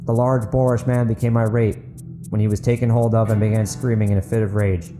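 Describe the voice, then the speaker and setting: A man's voice: on the low side, little pitch movement, brisk. A man narrating and hosting an audiobook fiction podcast. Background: guitar music.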